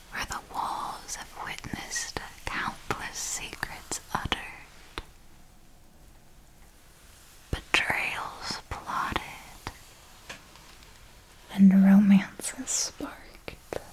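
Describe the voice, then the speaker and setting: Woman playing a slow whispering vampire mentor. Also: medium pitch, fairly even intonation, rough recording. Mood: hushed, alluring. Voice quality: soft breathy whisper. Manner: slow, deliberate, formal, intimate. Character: elegant vampire mentor, seductive, secretive, patient. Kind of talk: ASMR roleplay, scripted monologue.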